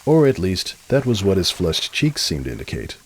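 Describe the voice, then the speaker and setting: Deep, husky, expressive male storyteller. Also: moderate pace. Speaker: narrator. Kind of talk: scripted dramatic story narration.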